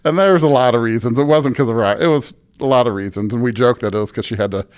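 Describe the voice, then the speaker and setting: A man's voice: medium pitch, fast talker, a little mellow, clean tone. A middle-aged American man chatting casually and telling anecdotes as an interview guest on a podcast.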